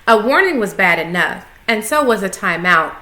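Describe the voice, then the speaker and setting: Bright-voiced woman reading aloud, echoey room. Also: medium pitch, expressive, moderate pace. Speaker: story reader, school coach. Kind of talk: children's storybook read-aloud.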